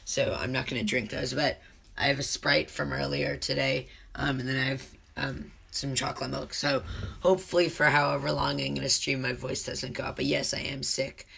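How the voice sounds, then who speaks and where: A man hosting his own live stream while sick with a cold, his voice deeper than usual, rambling and answering chat with lots of ums. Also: steady tone, moderate pace, vocal fry, bright, forceful tone, breathy edge. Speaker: livestream host.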